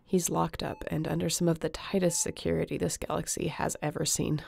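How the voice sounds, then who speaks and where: A woman playing an earnest, emotional space captain in a sci-fi audio roleplay, tender and halting. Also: low-pitched, little pitch movement, gravelly, darkish tone, husky, heavily acted. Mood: gentle, reassuring. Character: woman space captain, tender, guilt-ridden, devoted to her crewmate.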